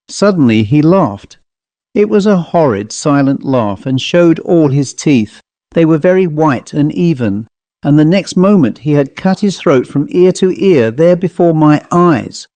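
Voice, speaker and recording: A man's voice: medium pitch, warm tone, dry recording. A man voicing a plain-spoken travelling salesman telling a grim storybook tale in a dry, colloquial, conversational manner. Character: haunted male commercial traveller, plain-spoken teller of grim tales. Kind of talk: dramatised storybook monologue.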